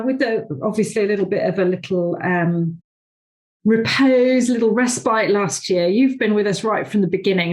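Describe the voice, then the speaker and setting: A woman hosts a podcast conversation over a video call, her voice sitting in a middle pitch. She talks in a chatty, rambling way, piling up fillers and asides as she leads into her questions. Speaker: podcast host, awards founder. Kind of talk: conversational interview.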